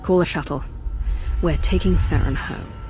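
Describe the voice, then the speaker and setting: An actress playing a weary, forgiving female commander in a sci-fi game, measured and composed. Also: deep voice, over music, lightly acted. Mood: weary, resolute. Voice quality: gravelly, husky. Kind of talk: video game cutscene acting.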